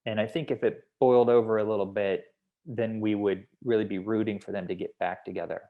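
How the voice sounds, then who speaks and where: A man's voice: on the low side, fairly even intonation, brisk, phone-quality sound. A man explaining his notes on a script in a hedging, conversational way, like a consultant at a feedback session. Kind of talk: feedback discussion at a table read.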